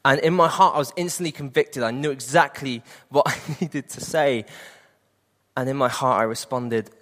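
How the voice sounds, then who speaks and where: A man's voice: mid-range voice, normal speed, a bit bright, in a large hall. A man in his late twenties preaching a sermon and sharing an earnest personal testimony. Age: 20s.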